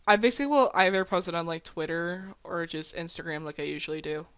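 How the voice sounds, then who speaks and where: A woman with a slightly low voice talks in a casual group chat. She speaks informally and lets mild swear words slip in as she goes. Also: quick pace, fairly bright tone, clean tone.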